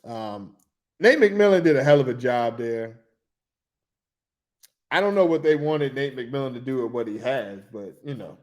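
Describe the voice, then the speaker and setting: A man, talking loosely and excitedly about basketball as a podcast co-host in casual chat. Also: medium pitch, unhurried, pauses often. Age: 40s.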